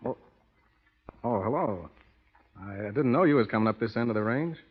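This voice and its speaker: An actor playing a reckless, easygoing cattle rustler with a flippant manner and an American Western accent in an old radio western. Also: male voice, mid-range voice, expressive, normal speed, a little hiss or hum, lightly acted. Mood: flippant, defiant. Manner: flippant, folksy. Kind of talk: 1930s-40s radio western acting. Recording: muffled old radio recording, hall reverb.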